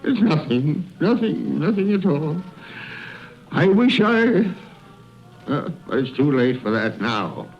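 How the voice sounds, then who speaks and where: A muffled radio-drama recording with music underneath carries an old miser. He swings widely in pitch and takes his time, stammering and repeating himself in a quavering, fearful, regretful way. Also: very animated, slow pace. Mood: wistful, regretful. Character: frightened, remorseful old miser revisiting his youth.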